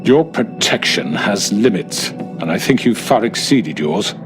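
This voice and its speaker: An actor playing a calm, menacing middle-aged crime boss in a video game, polished and coldly courteous. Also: male voice, fairly low pitch, unhurried. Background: game music.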